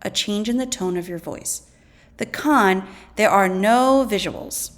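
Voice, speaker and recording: A woman teacher reading a scripted lesson aloud, deliberately and clearly enunciated, pausing between items. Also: medium pitch. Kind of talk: scripted educational read-aloud.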